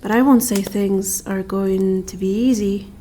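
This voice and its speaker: A woman acts out a serene female winter spirit, guardian of a snowy mountain, for an ASMR roleplay. Her voice is soft and dark-toned at a middling pitch, with stately, archaic wording. Noticeable ambient noise sits behind her. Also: steady tone, close to an everyday voice. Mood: calm, reassuring. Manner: formal, archaic, measured phrasing.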